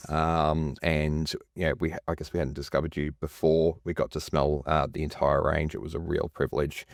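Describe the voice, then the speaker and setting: A man's voice: a bass voice, flat delivery, normal speed, no room sound, studio quality. A man hosting a casual interview podcast, enthusiastic and rambling with many fillers. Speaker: podcast host.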